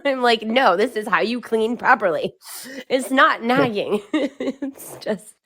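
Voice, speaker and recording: A woman podcast co-host in casual chat, loud and animated, laughing often as she tells stories. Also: on the high side, takes it slowly.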